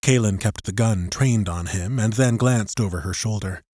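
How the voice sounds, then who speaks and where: A man's voice: on the low side, moderate pace, a little mellow, no echo, studio quality. A man reading scripted fiction as an audiobook narrator, in a dramatic storytelling style.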